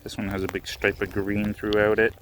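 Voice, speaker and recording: A man casually narrating his rock hunt on a rainy beach outdoors, relaxed and musing, thinking aloud with small restarts. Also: lowish voice, fairly quick, slight background noise, low-quality recording. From Canada. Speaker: rockhounding vlogger.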